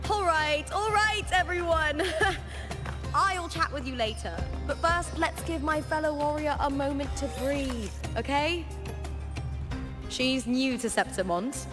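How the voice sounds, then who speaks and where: A friendly young female champion fighter with a high voice. She is breezy and sure of herself, with clear music behind her. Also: slow. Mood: breezy, reassuring. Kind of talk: English game voice acting.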